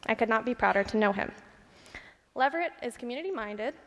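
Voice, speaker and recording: A woman's voice: fairly high pitch, expressive, brisk. A woman reading a prepared tribute speech aloud at an awards ceremony, recorded in an echoing hall. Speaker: staffer reading remarks on another's behalf. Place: large indoor event hall. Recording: echoey hall with long reverb.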